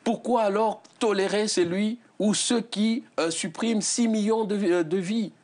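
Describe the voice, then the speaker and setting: A man's voice: high-pitched, steady tone, a little slow, darkish tone. A man speaking as a lawyer guest on a televised debate, indignant and pleading, with frequent hesitations.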